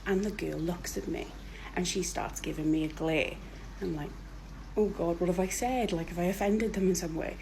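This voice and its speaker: A woman's voice: on the low side, moderate pace, gravelly, a little hiss or hum, rough recording. A woman from Wales telling a story to camera, acting out both sides of a conversation. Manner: animated, acts out both sides of the exchange.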